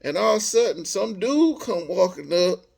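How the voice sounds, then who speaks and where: An American man telling a personal story casually, in animated, slangy speech, acting out bits of dialogue as he goes. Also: high-pitched, normal speed, creaky, husky.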